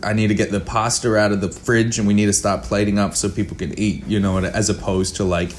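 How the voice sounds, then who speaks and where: Low-voiced man chatting candidly. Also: little pitch movement, fairly quick, slight background noise. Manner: candid, informal, mildly sweary, self-interrupting. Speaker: actor and producer guest. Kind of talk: casual interview chat.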